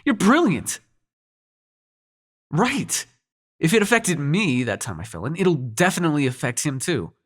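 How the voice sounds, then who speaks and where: A man's voice: on the high side, very animated, a little slow, long pauses, no room sound, clean studio sound. A man playing a cocky, sarcastic demon prince with a tender side in an intimate audio roleplay, speaking casually with teasing and swearing. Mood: excited, urgent. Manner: casual, sweary, teasing, hesitant when sincere.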